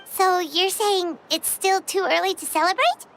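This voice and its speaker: An actress voicing a tiny, earnest, childlike companion creature with a squeaky voice in a video game. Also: female voice, a little slow, creaky, a bit bright, slight background noise, exaggerated voice. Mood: relieved, earnest.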